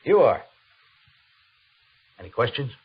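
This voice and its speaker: An actor playing a curt, commanding cavalry major in an old radio drama, speaking with stern authority. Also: male voice, mid-range voice, very animated, takes it slowly, a little hiss or hum. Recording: dull old radio recording.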